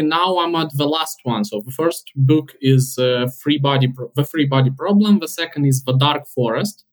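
A young man in his twenties who is a podcast guest, speaking in a thoughtful, hesitant but enthusiastic way. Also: a little high. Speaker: podcast guest, software developer. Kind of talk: casual podcast chat.

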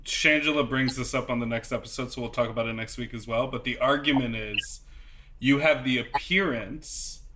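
A man on a podcast calmly explaining the facts, dry and matter-of-fact. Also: medium pitch, normal speed, noisy background. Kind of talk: casual explanatory chat.

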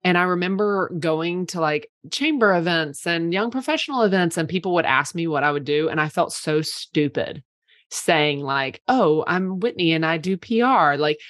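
A woman's voice: on the low side, moderate pace, no echo, studio quality. A woman in her thirties, a PR company owner, telling stories in a casual podcast chat, full of "like" fillers. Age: 30s. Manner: chatty, many "like" fillers, animated storytelling.

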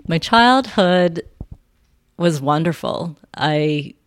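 A woman with a Canadian accent, speaking as a podcast guest in a measured, reflective way. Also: low voice, expressive. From Vancouver, Canada. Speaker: podcast guest, sound healing center founder. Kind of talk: reflective interview conversation. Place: quiet indoor room at her wellness space.

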